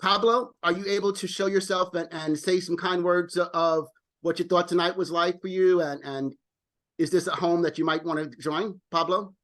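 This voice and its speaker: Male meeting host on a video call, high pitch, friendly, stumbles into repeats. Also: fairly even intonation, normal speed, a bit bright. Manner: friendly, repeats words when thinking. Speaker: club president, meeting host. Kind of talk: casual online meeting chat.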